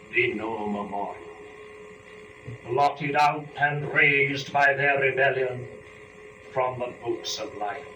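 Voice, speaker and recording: A man speaking in a formal, deliberate, articulate way, as a performer presenting poetry to listeners. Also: medium pitch, pushed and bright. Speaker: performer and lecturer. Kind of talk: dramatic poetry reading and introductory lecture. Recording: echoey room, distant mic, dull, noisy.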